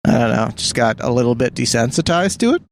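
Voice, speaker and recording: A man's voice: mid-range voice, expressive, quick pace, slight background noise. A Canadian man co-hosting a radio show, bantering dryly in a moving car. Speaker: radio co-host. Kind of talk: casual chat.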